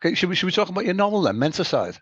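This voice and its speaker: Brisk male interviewer, fast talker, dry lo-fi sound. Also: high voice, sing-song, breathy edge, no echo. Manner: brisk, with quick short acknowledgements. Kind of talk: casual interview.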